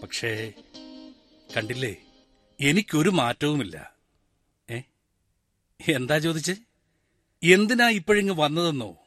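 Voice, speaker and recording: Middle-aged village postman, animated delivery, reflective radio monologue. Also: on the high side, slow, long pauses, dry recording, studio quality, faint music behind, heavily acted. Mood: reminiscing. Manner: talks straight to the listeners, musing and lamenting. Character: retired village postman, wistful, chatty, brooding. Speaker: actor in a one-man radio play. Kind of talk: 1990s-style radio monologue acting.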